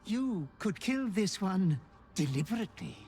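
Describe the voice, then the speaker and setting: An actor voicing a sly, servile fiendish butler in a video game, gleefully murderous and theatrically obsequious, savoring every phrase. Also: male voice, high-pitched, very animated, measured pace, a little mellow, faint music behind. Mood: fawning, menacing. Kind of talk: video game character acting.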